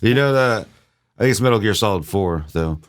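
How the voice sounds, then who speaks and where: A man's voice: fairly low pitch, expressive, fairly quick. A man co-hosts a casual gaming podcast. He keeps it laid-back and terse, with loose, sweary phrasing. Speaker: podcast co-host. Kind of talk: casual video game chat.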